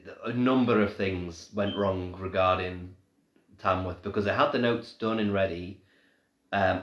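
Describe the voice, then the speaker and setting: Nasal-sounding man with a cold, echoey room. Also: lowish voice. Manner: rambling, many ums and restarts. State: ill, slightly nasal. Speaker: paranormal blogger. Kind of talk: casual live stream update.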